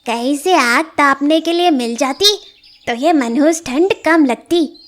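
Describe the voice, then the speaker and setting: A woman voicing a foolish, excitable forest monkey in a children's story, with a bossy, exclaiming manner. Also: high-pitched, slow. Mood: scheming.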